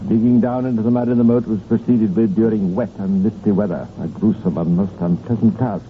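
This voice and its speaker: A man narrating a 1950s radio crime drama in a measured, grave, deliberate storytelling style. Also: on the low side, steady tone, phone-quality sound. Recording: vintage band-limited radio recording, faint noise.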